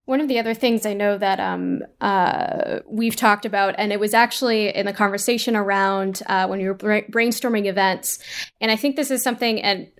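A woman giving a conversational interview answer, thinking aloud with a halting, word-repeating delivery. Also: mid-range voice. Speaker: panel interviewee.

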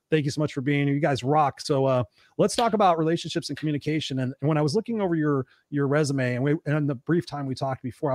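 Man, show host, fast rambling talk, lots of fillers, dry close sound. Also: fairly high pitch, darkish tone, no room sound. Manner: rambling, upbeat, many fillers. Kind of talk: live online show hosting.

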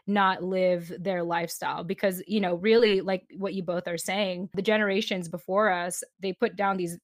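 A woman hosting a podcast, chatty and friendly, repeating words and filling pauses with laughs and ums. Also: on the low side, no room sound, studio quality. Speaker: podcast host.

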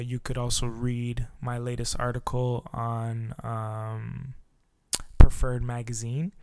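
A man hosting his own podcast in a relaxed, casual chat, with lots of fillers and loose phrasing. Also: mid-range voice, smooth, no echo. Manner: laid-back, filler-heavy, says "touch base" a lot. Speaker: podcast host.

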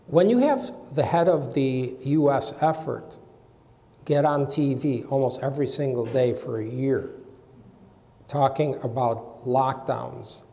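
A man's voice: mid-range voice, vocal fry, mellow. A man on a panel arguing his view in an emphatic, insistent way, often repeating words as he starts. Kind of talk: panel discussion argument. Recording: hall with long reverb.

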